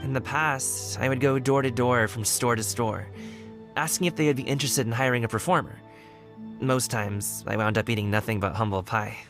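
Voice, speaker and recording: A man's voice: medium pitch, moderate pace, over music, lightly acted. An actor playing an easygoing young man, a wushu dancer working as a guard, friendly and candid in a video game. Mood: earnest. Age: young adult. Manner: friendly, laid-back and candid. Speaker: game character.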